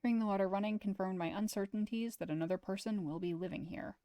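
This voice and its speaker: A woman streamer reading a visual novel aloud as expressive first-person story narration. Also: mid-range voice, fast talker, no room sound.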